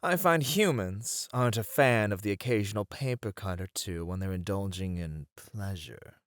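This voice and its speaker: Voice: deep voice, natural voice. An actor voicing a suave, dominant demon who flirts and teases in an ASMR roleplay. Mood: sly, seductive. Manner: slow, purring, teasing delivery.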